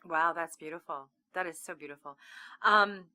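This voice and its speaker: A woman's voice: on the low side, normal speed, sharp tone. A woman from Canada with a Canadian accent, hosting a casual interview in a chatty, enthusiastic way. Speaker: interview host.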